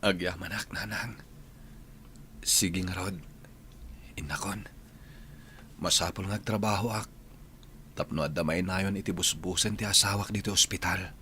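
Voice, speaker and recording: A man acting a gentle, earnest, worried husband in a radio drama, with an Ilocano accent. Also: deep voice, steady tone, a little slow, long pauses, slight background noise. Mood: grateful, subdued.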